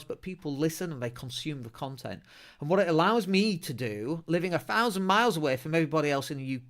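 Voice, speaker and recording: Middle-aged Leeds man, podcast host, slightly raised pitch, chatty, blunt, self-mocking. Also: fairly bright tone. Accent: Northern English, Leeds. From England. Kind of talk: casual solo podcast talk.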